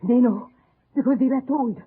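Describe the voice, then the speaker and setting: A woman's voice: fairly high pitch, normal speed, a little hiss or hum, natural voice. An actress playing a bitter, fierce middle-aged mother in the resistance, vehement and melodramatic, in old radio drama style. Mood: urgent, scornful. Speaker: actor. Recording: thin, tinny old radio broadcast.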